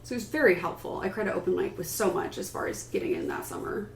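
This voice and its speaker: Echoey, lower-pitched woman telling stories casually. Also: wildly swooping pitch, fast, gravelly. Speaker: writer and panelist. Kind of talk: casual panel discussion, personal storytelling. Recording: roomy echo with some background noise.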